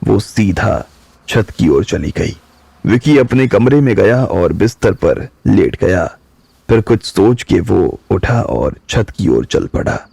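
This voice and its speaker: Low, gravelly male storyteller. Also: no echo. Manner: slow, steady storytelling. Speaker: narrator. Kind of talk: scripted storytelling narration.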